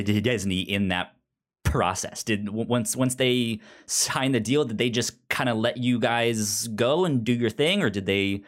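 A man hosting a casual podcast interview, speaking in a halting way with repeated word starts. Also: mid-range voice.

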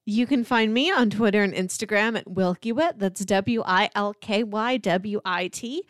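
A woman's voice: medium pitch, normal speed, no room sound, clean studio sound. A woman co-hosting a film and television podcast, talking in a casual, conversational style. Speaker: podcast co-host.

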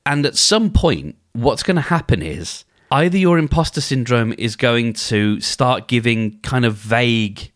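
A man's voice: mid-range voice, animated, measured pace, a bit bright. A man hosting a solo advice podcast, thinking aloud in a conversational way and often restarting his sentences. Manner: conversational, thinks aloud, acts out inner dialogues; frequent restarts and filler phrases. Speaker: podcast host.

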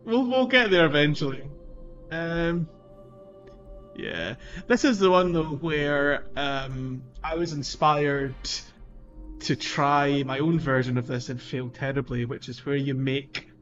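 A man's voice: fairly high pitch, slow, a bit bright, highs cut off, soft background music. A man co-hosting a casual video game podcast, rambling along and chuckling through his anecdotes. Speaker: podcast co-host.

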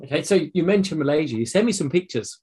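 A man's voice: on the high side, expressive, fast, mellow, slightly rough. A man with a British accent hosting a casual, conversational interview. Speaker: podcast host, interviewer.

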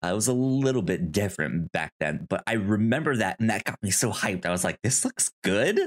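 Casual podcast host with a halting delivery. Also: low voice, lively intonation. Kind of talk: casual review chat.

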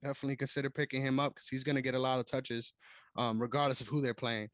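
A man co-hosting a sports podcast, giving measured, analytical takes in casual chat. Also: medium pitch, level tone, dry recording. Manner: measured, analytical, frequent "I think". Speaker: podcast co-host.

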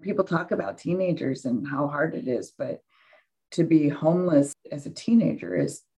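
A woman's voice: low-pitched, normal speed, mellow, husky, low-quality recording. A woman guest giving candid personal testimony in a subdued way. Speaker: interview guest.

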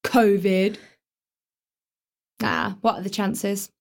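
A woman's voice: mid-range voice, flat delivery, very slow, gravelly. A woman with a British accent playing a brash, easily distracted teenage girl in a naturalistic radio play, blunt and colloquial. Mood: casual, flippant.

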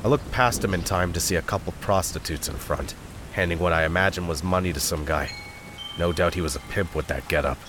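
A man's voice: low-pitched, brisk, noisy background, lightly acted. A man acting a bitter, lost convict who narrates his grim story in a gritty audio drama. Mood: brooding. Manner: gritty, brooding, foul-mouthed. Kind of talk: scripted first-person noir-style narration in an audio drama.

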